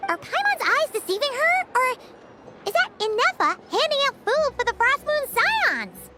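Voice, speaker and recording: A woman playing a tiny, chirpy, nosy fairy companion in a video game, with a squeaky, perky delivery. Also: sing-song, normal speed, heavy background noise. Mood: perky, curious. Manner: squeaky, perky, refers to herself by name.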